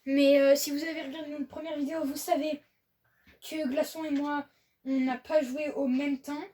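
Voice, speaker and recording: Woman co-host, high-pitched, relaxed explainer. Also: normal speed, recorded from a distance. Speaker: gaming channel co-host. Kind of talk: casual gameplay chat.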